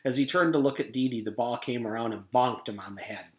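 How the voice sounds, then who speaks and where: A man's voice: medium pitch, quick pace, highs cut off. A man, a teacher, reading a children's story aloud as scripted narration. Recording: echoey room.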